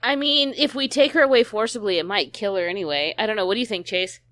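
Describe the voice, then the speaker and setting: A woman's voice: medium pitch, fast talker, a bit bright. A woman co-hosting a game stream with casual, joking commentary. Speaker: stream co-host.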